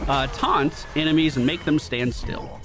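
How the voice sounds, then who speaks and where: A man's voice: on the high side, measured pace, soft background music. A young man with a slightly nasal voice from a cold, giving casual, swearing commentary over his own gameplay. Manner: casual, swears, excitable bursts when plays land. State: getting a cold. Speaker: gaming YouTuber.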